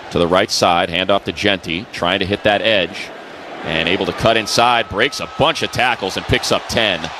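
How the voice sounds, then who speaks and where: A man's voice: fairly low pitch, slight background noise. A man doing live football play-by-play on the radio. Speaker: play-by-play announcer. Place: open stadium broadcast booth. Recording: loud stadium ambience behind. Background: loud stadium ambience.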